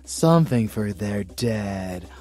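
A man playing a dark, obsessive vampire lover in an ASMR audio roleplay, with a theatrical, seductive delivery and murmurs. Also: on the low side, animated, takes it slowly, soft background music. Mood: seductive, gloating.

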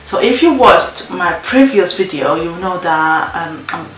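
A young woman whose first language is Spanish, chatting casually to her camera in a story-time vlog. She talks loosely, repeats her own phrases, and fills pauses with many ums. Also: lowish voice, animated, very noisy. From Spain. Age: young adult. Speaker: vlogger. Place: indoor room against a plain wall. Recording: roomy echo, faint music.

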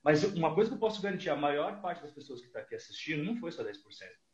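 A man's voice: a little high, very fast. A man in his thirties with a Brazilian accent, a guest investment analyst telling stories animatedly, with a headset on a video call. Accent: Brazilian Portuguese. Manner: animated storytelling, acting out dialogues. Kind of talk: casual podcast interview. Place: small room with purple lighting. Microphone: headset over video call. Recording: echoey lo-fi video call audio.

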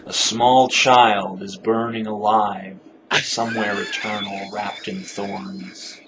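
Young male poet, low and very slow, brooding overwrought recitation, noisy amateur recording. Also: little pitch movement. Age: young adult. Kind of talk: solemn dramatic poetry recitation. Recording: noisy amateur video playback.

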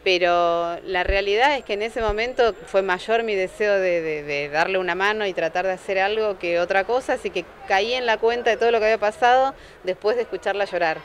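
Calm Argentine woman on a sidewalk. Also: medium pitch. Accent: Argentine Spanish. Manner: calm, measured, with frequent restarts. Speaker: surgical technologist interviewed on the street. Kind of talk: news street interview. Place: city sidewalk outdoors. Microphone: handheld reporter microphone. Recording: faint street noise behind.

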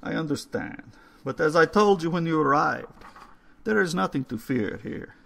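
Creepy old undertaker guy, a man doing the voice, higher pitched, slow and ominous, a bit gravelly. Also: animated, bright, forceful tone, heavily acted. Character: old Hungarian male undertaker, courtly and eerie.

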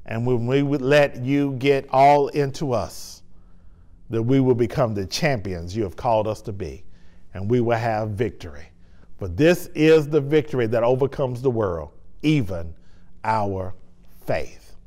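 A man's voice: medium pitch, a little slow, a little mellow. A man, a pastor, leading a sermon and communion liturgy in a church sanctuary with a lapel mic, with fervent, emphatic preaching and solemn prayer.

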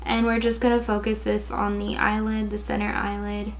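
A young woman in her twenties with a raspy, slightly hoarse voice, chatting casually through a makeup tutorial with lots of "um" and "like". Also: medium pitch, completely flat delivery, moderate pace. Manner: casual, chatty, many fillers like "um" and "like". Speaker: beauty vlogger. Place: indoors, in front of a gold sequin backdrop.

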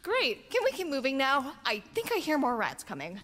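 Woman acting a high, exasperated young cleric. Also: huge pitch swings, moderate pace, fairly bright tone, heavily acted. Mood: impatient. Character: exasperated, sharp-tongued young female rookie cleric. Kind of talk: cartoon parody voice acting. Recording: music bed under the dialogue.

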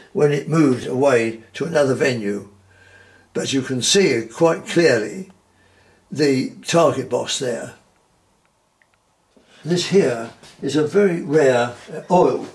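An elderly man, giving an informal guided tour in a halting, measured, formally courteous way. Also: medium pitch. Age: 80s or older. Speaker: collector showing his archery collection. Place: wood-floored indoor collection room. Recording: roomy indoor echo.

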